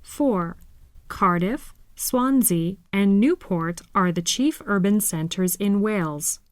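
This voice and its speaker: A woman reading scripted self-introductions for a listening exercise, slow and carefully enunciated. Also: mid-range voice, clear, resonant tone, no room sound.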